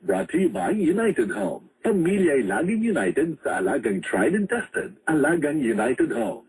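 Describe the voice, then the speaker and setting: Commercial announcer, man, Filipino accent. Scripted taglines with animated intonation and a fairly high register. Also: unhurried, husky, rough recording.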